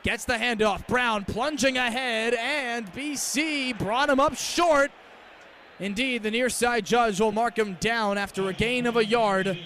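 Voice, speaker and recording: High-pitched young male football play-by-play announcer. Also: a little slow, pushed and bright. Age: young adult. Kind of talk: live radio American football commentary. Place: stadium game broadcast. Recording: live outdoor broadcast with background noise.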